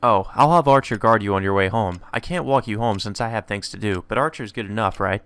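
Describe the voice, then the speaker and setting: A man voicing a brisk, bossy teenage mage girl, blunt but fair, in a visual novel read-aloud. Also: fairly low pitch, fairly bright tone, clear, resonant tone.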